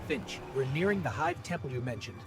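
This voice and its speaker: A man playing an earnest, wary robot companion in a video game, speaking in a measured, serious way. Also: a little high, lively intonation, over loud music, lightly acted. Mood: wary, curious.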